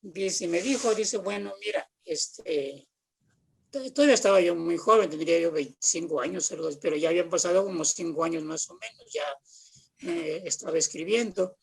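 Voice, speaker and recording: A man's voice: a little high, brisk, creaky, slightly rough, rough recording. A Mexican man in his seventies chatting anecdotally as an interview guest, retelling conversations in a storytelling way. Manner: chatty storytelling with acted-out quotes. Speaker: guest theatre practitioner. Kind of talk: casual anecdotal interview talk.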